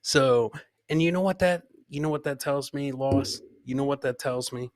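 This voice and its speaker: A man's voice: mid-range voice, moderate pace, no echo, studio quality. A man hosting a live comedy podcast, giving casual, rambling commentary on clips and repeating his catchphrases.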